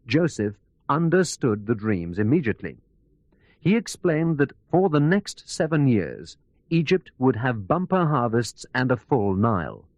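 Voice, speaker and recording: Man playing an animated, articulate radio news correspondent. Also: medium pitch, a little slow, no echo. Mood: measured, earnest. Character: male news correspondent recounting a biography for radio. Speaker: news reporter. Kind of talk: scripted radio news narration.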